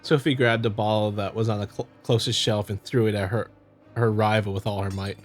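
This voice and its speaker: A man's voice: on the low side, fairly even intonation, a little fast. Man reading a visual novel over music. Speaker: let's player. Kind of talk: casual visual novel playthrough with read-aloud narration.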